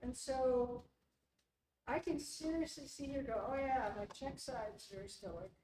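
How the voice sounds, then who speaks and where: A woman's voice: sits high, measured pace, crowd noise. A woman from the United States chatting casually in a book club discussion. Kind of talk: casual chat. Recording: echoey room from far off, noisy.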